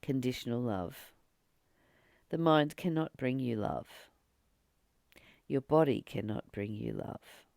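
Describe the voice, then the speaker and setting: Slow, creaky-voiced spiritual teacher. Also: mid-range voice, a little mellow, no echo, studio quality. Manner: deliberate, earnest, with long pauses between short phrases. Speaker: spiritual teacher reading and commenting on a text. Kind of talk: reflective spiritual study, reading aloud with personal commentary.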